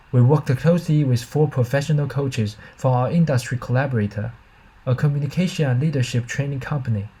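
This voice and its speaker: Man, scripted academic narration, researcher, dark mellow tone, husky, formal measured reading. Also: medium pitch, poor audio. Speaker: researcher presenting own system.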